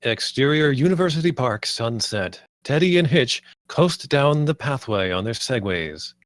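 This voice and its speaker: A man's voice: medium pitch, expressive, measured pace, dry recording, clean studio sound. A man narrating the stage directions of a script at a table read.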